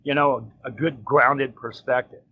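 A male host talking in a measured, matter-of-fact way in a casual interview. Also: mid-range voice, steady tone, breathy edge. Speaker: podcast host. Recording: dull lo-fi audio with faint noise.

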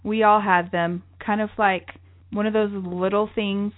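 A woman's voice: medium pitch, little pitch movement, unhurried. A woman co-hosting a travel show, talking in a bubbly, chatty way and laughing often. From lived in New York City. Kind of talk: casual chat. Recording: tinny phone-like line.

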